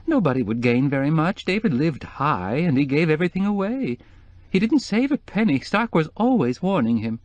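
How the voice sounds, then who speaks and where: A tearful, distraught middle-aged woman, as a male narrator puts her on, her voice pitched up and wobbling with grief. The delivery quavers and strains upward as she talks. The read is dry and close, with no room around it. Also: lively intonation, normal speed, darkish tone, no echo, highs cut off, lightly acted. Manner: weepy, quavering, rising high in distress.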